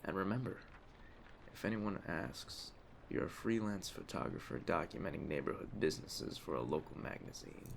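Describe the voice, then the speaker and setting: Man playing a deep, gravelly mafia don. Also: lively intonation, heavily acted. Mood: businesslike, calm. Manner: measured, authoritative, streetwise. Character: calm, commanding aging mafia boss giving orders. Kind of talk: ASMR roleplay. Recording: clear ambient storm noise behind. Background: storm ambience.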